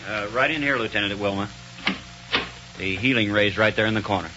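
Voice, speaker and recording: A man plays a deferential spaceport hand in an old radio serial. The voice is on the low side and obliging. Heavy noise covers a dull recording. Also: fairly quick, lightly acted. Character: obliging, deferential male spaceport ground crewman. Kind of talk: 1930s radio serial acting. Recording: muffled, very noisy 1930s radio recording.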